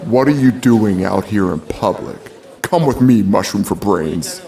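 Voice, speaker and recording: A big, gruff guardian in a fantasy audio drama. The voice is lowish and full of creak, with blunt, commanding delivery. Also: male voice, expressive, unhurried, mellow. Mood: gruff, scolding. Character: gruff, big, streetwise male protector of a younger man.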